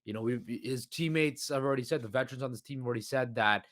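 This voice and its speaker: A man hosting a solo sports podcast, with a hoarse voice and a rambling delivery full of fillers and restarts. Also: medium pitch, fast talker, fairly bright tone, no room sound, studio quality. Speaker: podcast host.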